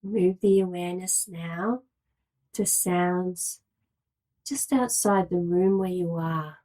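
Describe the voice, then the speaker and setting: Female yoga nidra guide: slightly low register, unhurried, very slow delivery, measured and soothing. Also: dark tone. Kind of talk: guided relaxation meditation, slow instructions with long pauses.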